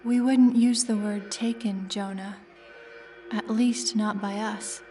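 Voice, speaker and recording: A woman playing a calm, polite synthetic android in a sci-fi audio drama, formal and measured. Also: medium pitch, recorded from a distance, close to an everyday voice. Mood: calm, guarded. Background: music.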